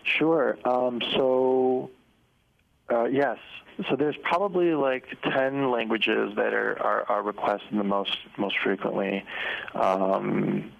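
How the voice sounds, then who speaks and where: A middle-aged man with a low, gravelly voice talks as a guest on a radio show. His first language was Spanish, and he halts often with fillers and restarts. The broadcast sound is muffled and lo-fi. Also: steady tone, takes it slowly. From United States. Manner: hesitant, many fillers and restarts. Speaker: business owner guest. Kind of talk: casual radio interview.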